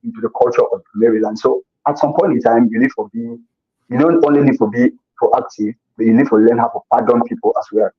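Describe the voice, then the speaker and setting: A man talks earnestly as an interview guest, often circling back over his own words while he thinks. His voice is husky and sits at a middle pitch. The recording sounds dull and lo-fi. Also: fairly even intonation, fairly quick. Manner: earnest, repeats himself while searching for words. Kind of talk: casual conversational interview.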